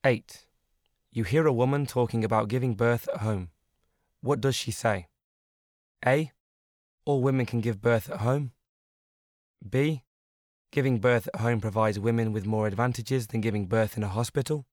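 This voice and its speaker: Male narrator, scripted exam read, mid pitch, dry close recording. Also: normal speed, pauses often, darkish tone, no echo. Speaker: listening test narrator.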